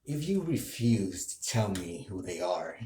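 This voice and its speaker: Man playing a slow, menacing low-voiced anime villain. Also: big pitch swings, dark tone, slight background noise. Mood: quiet menace. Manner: slow, deliberate, ominous taunting. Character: menacing male villain, neither human nor demon, craving power. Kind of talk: anime villain role play.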